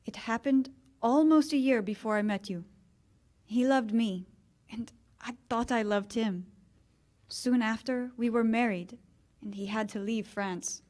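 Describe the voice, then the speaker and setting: A woman's voice: fairly high pitch, unhurried, long pauses, a little mellow, close to an everyday voice. An actress playing a proud, wounded married woman, restrained and earnest, in 1940s-style radio theater. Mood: wistful, resolute.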